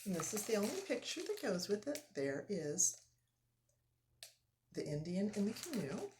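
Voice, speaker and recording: A woman reading a children's story aloud live, expressive and explanatory, with casual asides to listeners. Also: mid-range voice, moderate pace, noisy background.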